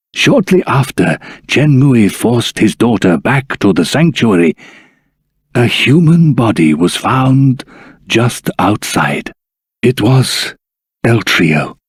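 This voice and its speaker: An actor playing a wise, earnest old grandfather from a dragon folk in a video game, speaking formally in a husky, rough-edged voice. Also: mid-range voice, no room sound, clean studio sound, exaggerated voice. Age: older. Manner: formal, measured, deliberate storytelling. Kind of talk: scripted video game voice acting.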